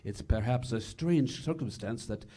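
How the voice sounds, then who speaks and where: Man playing noble resistance leader, mellow dark tone, formal, sincere, measured, 1940s radio drama. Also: mid-range voice, expressive. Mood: grave, knowing. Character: earnest, noble male resistance leader in love. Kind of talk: 1940s radio theater acting.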